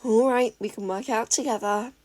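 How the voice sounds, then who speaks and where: A woman voicing a gentle, patient teenage boy tinkerer who speaks calmly and reassuringly. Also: a little high, normal speed, slight background noise, close to an everyday voice. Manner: calm and reassuring.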